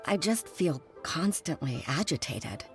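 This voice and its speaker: A woman's voice: low voice, animated, unhurried, soft background music. An actress playing an earnest, polite young woman official in a video game, reflective and formal in manner. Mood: troubled, wistful.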